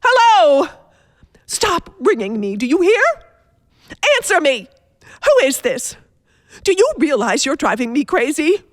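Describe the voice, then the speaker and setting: A woman's voice: sits high, big pitch swings, measured pace, long pauses, no room sound, studio quality. A woman playing an imperious, self-pitying bedridden woman on the edge of hysteria in a radio thriller. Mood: hysterical. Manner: imperious, hysterical, haughty, breathless pleading. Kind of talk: old radio thriller acting.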